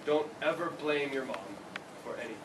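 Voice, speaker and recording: A man's voice: a little high, brisk, natural voice. A man playing a widowed, reproachful but devoted old father in a staged play reading. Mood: reproachful, upset. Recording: distant mic, echoey noisy room.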